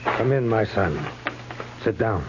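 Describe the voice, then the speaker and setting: Deep-voiced, solemn old chief. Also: male voice, lively intonation. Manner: measured, solemn, terse phrasing. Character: old Sioux chief, grave, weary and wise. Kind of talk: 1950s radio drama acting. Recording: muffled, hissy 1950s radio recording.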